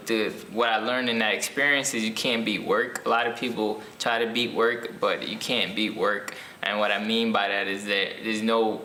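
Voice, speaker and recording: A young man from Ethiopia, an author answering interview questions casually, pausing often with ums and restarts. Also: lowish voice, sharp tone, reverberant, slight background noise, poor audio. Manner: hesitant, many ums and restarts.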